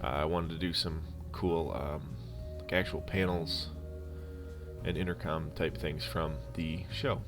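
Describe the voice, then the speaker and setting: A man narrating a DIY prop-building tutorial in a casual, unscripted and halting way. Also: rumbling low, fairly even intonation. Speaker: DIY prop-making video host. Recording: instrumental music behind the voice. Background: music.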